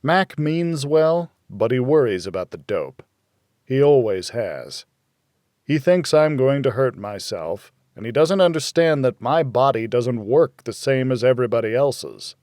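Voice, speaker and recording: A man narrating a first-person noir thriller in a measured, hardboiled manner. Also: mid-range voice, animated, darkish tone, no echo, studio quality. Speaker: audiobook narrator.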